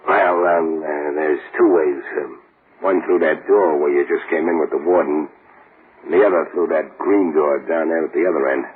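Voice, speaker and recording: An actor playing a streetwise death-row convict who talks in tough slang, in old radio drama style. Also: male voice, very deep voice, fairly even intonation, moderate pace, breathy edge. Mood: scheming. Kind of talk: 1940s radio acting. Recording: tinny hissy old radio broadcast.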